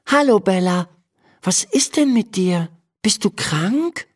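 Man acting out a young girl, caring, polite, questioning, raised pitch, slow children's storybook delivery. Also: lively intonation, exaggerated voice. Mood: worried, tender.

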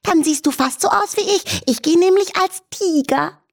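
A woman voicing a tiny, cheerful mouse in a squeaky, chirpy voice. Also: moderate pace, gravelly.

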